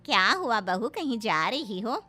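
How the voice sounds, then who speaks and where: A woman playing a jealous, possessive middle-aged mother-in-law in an animated moral story, demanding and suspicious in manner. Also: on the high side, unhurried, music in the background.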